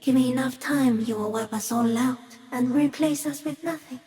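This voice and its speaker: A shy, ancient giant stick insect speaks in a high feminine voice. The creature is gentle and solemn, delivering poetic game monologue with hushed, otherworldly wonder. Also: female voice, normal speed, creaky. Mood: solemn, awed.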